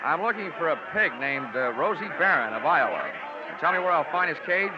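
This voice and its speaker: An actor playing a cocky, wisecracking American insurance investigator, wry and theatrical, in a 1940s radio detective drama. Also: male voice, on the high side, sing-song, a little fast, over loud music. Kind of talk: 1940s radio acting, first-person detective narration. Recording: dull old radio recording.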